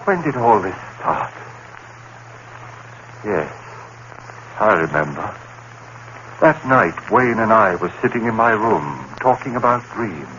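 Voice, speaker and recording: A haunted man accused of murder broods over his memories in a genteel, formal way. His voice is bright and edgy. The sound is dull, with the soft hiss of an old radio broadcast. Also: fairly low pitch, big pitch swings, takes it slowly, long pauses, exaggerated voice. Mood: agitated. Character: haunted male murder suspect, biology teacher. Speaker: lead actor. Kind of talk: 1930s radio drama acting.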